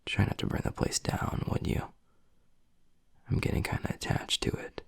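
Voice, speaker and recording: A man playing a gruff young werewolf pack alpha and protective, secretly tender boyfriend, murmuring bluntly in a husky voice in an intimate audio roleplay. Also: normal speed, no room sound, in a whisper, close to an everyday voice. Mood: teasing, fond. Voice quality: husky, gravelly, breathy low voice.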